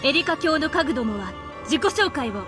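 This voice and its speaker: A woman's voice: high-pitched, sing-song, very fast, music in the background. An actress playing a formal female attendant making ceremonial announcements in a game. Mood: solemn, formal.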